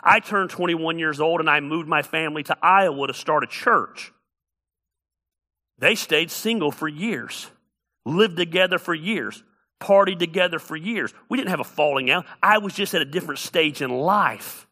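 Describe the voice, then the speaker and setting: A man's voice: on the high side, expressive, moderate pace, bright, forceful tone. A middle-aged man preaching a sermon as pastor, emphatic and repeating key phrases for effect.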